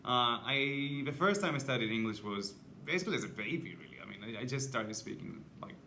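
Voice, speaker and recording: Bright-voiced Greek-born man. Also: medium pitch, expressive, a little fast, a little hiss or hum. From Greece. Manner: easygoing, many fillers and restarts. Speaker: English teacher. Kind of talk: casual conversation.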